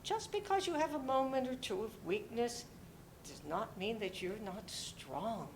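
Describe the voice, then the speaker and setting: A woman playing a kind, motherly neighbour, gentle, expressive and encouraging, in a staged reading of a play. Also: measured pace. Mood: reassuring. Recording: live recording with faint rumbling noise.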